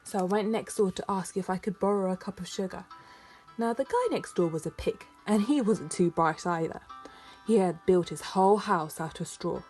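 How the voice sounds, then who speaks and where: A woman's voice: mid-range voice, normal speed, darkish tone, lightly acted. A woman with a British accent voicing a chatty, aggrieved wolf who tells his own side in a children's storybook read-aloud. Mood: confiding. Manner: conversational, self-justifying storytelling. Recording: music behind the voice.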